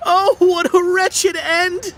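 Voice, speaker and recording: A woman's voice: very high-pitched, flat delivery, slow, a little hiss or hum, heavily acted. A woman voicing a panicky young man convinced he has been cursed, stammering and dramatic. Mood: frightened. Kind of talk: video game voice acting.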